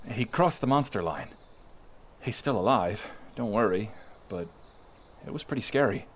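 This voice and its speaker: A man's voice: on the low side, a little hiss or hum. A man playing a chatty young villager who fondly recounts sea monster tales in an informal, rambling audio roleplay. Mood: uneasy, subdued. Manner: informal, animated, rambling witness account with hesitations. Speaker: voice actor. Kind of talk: in-character audio roleplay storytelling, conversational.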